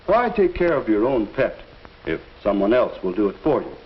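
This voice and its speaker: An actor playing a patient male teacher in a 1950s educational film, speaking in a measured, instructive way on an old soundtrack. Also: fairly low pitch, sing-song, lo-fi sound. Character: patient adult male school teacher counseling students.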